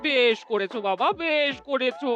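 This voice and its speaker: A woman's voice: high voice, moderate pace, fairly bright tone, muffled recording. A woman playing a doting, bossy middle-aged wife and mother-in-law in a cartoon, fussing and emphatic. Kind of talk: cartoon story acting.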